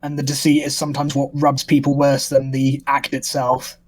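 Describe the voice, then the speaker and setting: A man's voice: medium pitch, monotone, normal speed, no room sound. A man talking conversationally on a casual political discussion panel.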